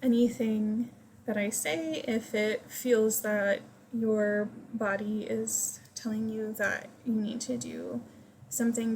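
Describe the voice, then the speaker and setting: A woman leading a guided meditation, giving gentle, invitational instructions in short phrases with pauses between them. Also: fairly high pitch, gravelly, a little hiss or hum, low-quality recording.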